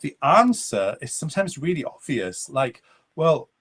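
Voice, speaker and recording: Welsh guy, welsh is his first language, talks slow and thoughtful, stops to find words, guest author in an interview. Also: a little high, big pitch swings, fairly bright tone, slightly rough. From Wales. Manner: reflective, measured, pauses to find words. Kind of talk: conversational interview.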